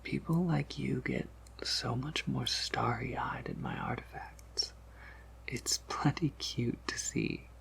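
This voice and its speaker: A man voicing a courteous, softly amused vampire apothecary shopkeeper in a whispered ASMR roleplay, with formal, gentle phrasing. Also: medium pitch, wildly swooping pitch, full character voice, poor audio. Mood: gentle, reassuring. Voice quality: breathy whisper with gravelly creak. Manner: polite, gentle, formal, unhurried.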